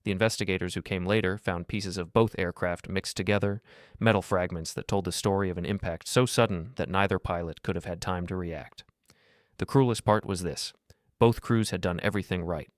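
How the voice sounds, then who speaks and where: A man reading scripted documentary narration in a measured, grave manner. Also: low voice, no echo, clean studio sound.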